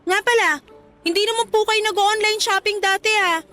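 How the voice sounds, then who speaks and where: A frank, practical grown child in a radio drama. The voice is extremely high, pleading yet respectful. Light music plays behind it. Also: female voice, steady tone, fairly quick, bright, forceful tone. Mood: skeptical, exasperated. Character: outspoken, practical grown child of a widowed mother. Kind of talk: Filipino radio drama acting. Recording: music playing under the dialogue.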